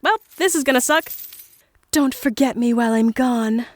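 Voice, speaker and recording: A woman's voice: sits high, normal speed, no room sound. A woman playing an irreverent, laid-back human prisoner in a comic sci-fi audio drama, casual and sardonic. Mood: sardonic, playful.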